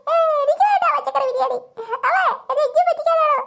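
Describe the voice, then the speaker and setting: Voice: very high-pitched, fast, vocal fry, fairly bright tone, full character voice. A performer voicing a whiny, demanding small child in a comic family cartoon, pleading with melodrama.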